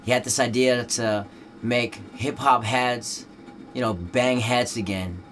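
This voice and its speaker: A man's voice: mid-range voice, fairly even intonation, slow pace, a bit bright, a little hiss or hum. Dutch man, loose laid-back talk. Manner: laid-back, loose, full of "you know" fillers. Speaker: rapper. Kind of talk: casual interview talk.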